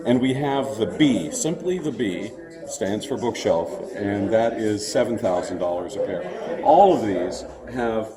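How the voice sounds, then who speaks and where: A man's voice: fairly low pitch, expressive, a little slow, over music. A man representing a company gives an unscripted, technical product demonstration, often hesitating as he explains. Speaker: loudspeaker company representative presenting a product line. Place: indoor trade show floor. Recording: busy crowd noise around the voice. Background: crowd chatter.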